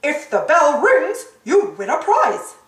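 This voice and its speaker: A woman's voice: sits high, big pitch swings, takes it slowly, fairly bright tone, reverberant, distant mic, pitched above the performer's own voice, exaggerated voice. A woman voicing a hearty, mocking male bull calling out as a showman in a storybook. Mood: showy.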